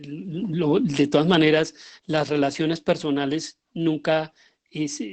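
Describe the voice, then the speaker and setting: A middle-aged Colombian man speaks as a guest lecturer. His delivery is informal and reflective, with pauses as he looks for the right word. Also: a little high. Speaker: guest lecturer, theatre and radio drama director.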